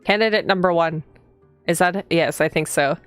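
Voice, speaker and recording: Low-pitched woman streamer with playful commentary. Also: moderate pace. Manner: playful, teasing, giggly asides to viewers. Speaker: streamer playing a visual novel. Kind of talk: casual live gameplay commentary and reading aloud. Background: game music.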